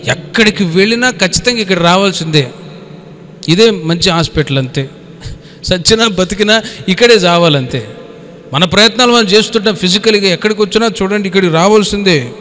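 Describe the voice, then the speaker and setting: A man's voice: sits high, brisk, a bit bright. A man preaching a Bible study as a pastor, exhorting in colloquial speech with rhetorical questions and some English words mixed in. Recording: echoey hall with long reverb.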